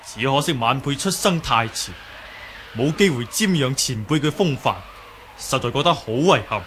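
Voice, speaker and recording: An actor playing a courteous, confident young martial hero in a 1980s Hong Kong Cantonese radio drama, formal and composed. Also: male voice, mid-range voice, animated, moderate pace, a bit bright, close to an everyday voice. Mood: confident, polite. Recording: noisy old radio drama recording.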